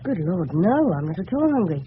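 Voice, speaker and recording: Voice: mid-range voice, very animated, fairly quick, natural voice. A performer playing a polite, earnest, nervous adolescent schoolboy with an English accent in a radio drama. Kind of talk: 1970s radio drama acting. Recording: dull hissy old radio recording.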